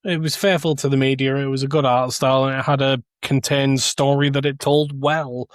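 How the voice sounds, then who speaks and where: A man's voice: mid-range voice, flat delivery, moderate pace, dry recording, clean studio sound. A man giving his opinions in a casual podcast chat as a co-host.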